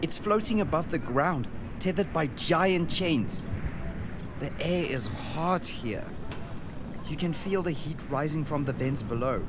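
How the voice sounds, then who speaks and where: A man's voice: a little high, animated, a little slow. A man playing an earnest, wide-eyed boy traveler in a radio drama, describing things with awe. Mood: wistful wonder. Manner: descriptive, awed storytelling. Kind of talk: radio drama monologue and dialogue. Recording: noisy outdoor and vehicle ambience behind.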